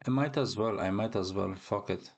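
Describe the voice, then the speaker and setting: A man's voice: lowish voice, moderate pace, vocal fry. A man hosting a reaction video, chatting casually and musing aloud with hesitant, repeated phrases. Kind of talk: casual chat, live reaction.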